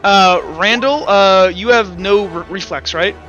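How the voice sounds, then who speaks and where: A man running a tabletop game as game master, narrating informally and haltingly. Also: high voice, bright, forceful tone. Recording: slightly muffled with music behind.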